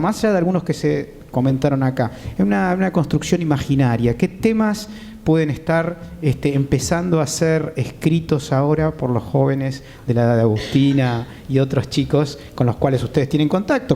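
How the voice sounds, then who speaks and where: A man's voice: animated, moderate pace, mellow. A man with an Argentine accent moderating a conversation, discursive, repeating words and adding little checking tags. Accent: Argentine Spanish. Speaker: host, moderator. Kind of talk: conversational interviewing. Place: theatre stage. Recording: clear background noise with low rumble.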